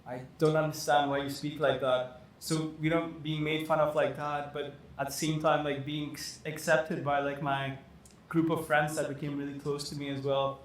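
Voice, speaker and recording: A man's voice: a little high, level tone, normal speed. Young man from Dubai, chatty guest who rambles with fillers; very echoey room, faint hiss. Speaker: fashion designer guest. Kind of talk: casual interview talk.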